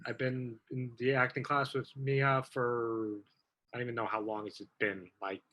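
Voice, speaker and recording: Man, from New York, bright tone, easygoing, uneven pace, video call. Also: mid-range voice, little pitch movement, moderate pace. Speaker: acting student guest. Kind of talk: casual video call introduction.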